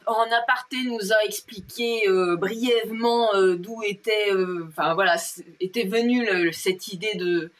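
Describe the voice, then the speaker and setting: A woman co-hosting an interview, speaking in halting phrases. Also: medium pitch. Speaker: co-host. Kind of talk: interview chat.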